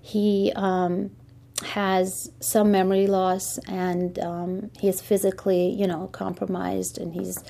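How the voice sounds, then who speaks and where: A woman in her forties from Iran talks candidly about caring for her father. Her voice is gravelly and mellow, staying in a narrow, even pitch. She pauses and restarts as she searches for words. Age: 40s. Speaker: interviewee, caregiver for her father.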